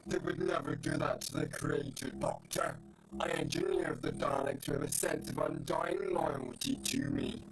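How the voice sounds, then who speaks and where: Sci-fi audio villain: ancient, proud creator, heavily gravelled voice, slow menace, music underneath. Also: male voice, a little high, noisy background. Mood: proud, defiant. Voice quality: gravelly, croaking. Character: old ruined mad creator of the Daleks, proud, menacing. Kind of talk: science fiction audio drama acting. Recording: loud music bed under voice.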